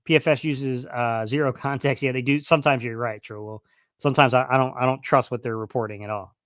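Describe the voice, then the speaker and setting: A man's voice: mid-range voice, steady tone, a little fast, fairly bright tone, no room sound, clean studio sound. Rambling man hosting a football live stream. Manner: rambling, many fillers and restarts. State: losing his voice a little. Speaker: sports livestream host. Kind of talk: casual live-stream football talk, reading chat.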